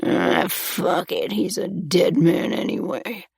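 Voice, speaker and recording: A woman's voice: fairly low pitch, sing-song, takes it slowly, vocal fry, a little mellow, husky, no echo, studio quality, heavily acted. A woman doing a rambling, mumbling male captor. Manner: mumbling under his breath.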